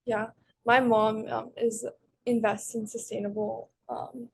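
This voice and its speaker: Hesitant teenage girl with vocal fry. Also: on the high side, little pitch movement, takes it slowly. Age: teens. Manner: hesitant, lots of um, trails off. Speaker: student interviewer. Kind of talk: interview questions.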